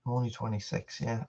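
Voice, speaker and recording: A man's voice: mid-range voice, creaky, a little mellow, dull audio. A man, hosting a casual live stream and chatting to viewers. He rambles, repeats himself and laughs often. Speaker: channel host on a live stream.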